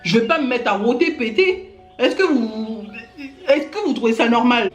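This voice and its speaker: A woman's voice: on the high side, animated, normal speed. A Gabon-born woman YouTuber ranting indignantly to her camera, speaking straight at her critics and filling her talk with little tags. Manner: indignant, addresses critics directly, many fillers like "hein" and "genre". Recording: echoey room with faint music.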